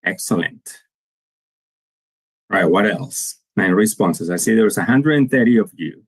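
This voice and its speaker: Earnest man with a low voice. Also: lively intonation, unhurried, breathy edge, dry recording, low-quality recording. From Colombia. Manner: earnest, repeats and restarts words often. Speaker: guest speaker. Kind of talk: conference presentation to students.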